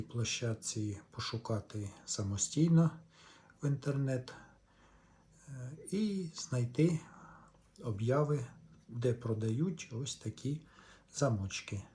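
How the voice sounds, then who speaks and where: A man hosts a casual hands-on demonstration. His voice is soft-edged and mellow, at an ordinary male pitch. He takes his time, talking slowly in short, halting phrases as he works. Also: slight background noise. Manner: unhurried, halting in short phrases while working. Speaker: host of a reel-to-reel repair channel.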